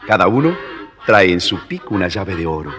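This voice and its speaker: Deep-voiced man narrating, echoing. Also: sing-song, brisk, over music. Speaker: storybook narrator. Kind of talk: scripted children's story narration. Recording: reverberant, noisy old story record.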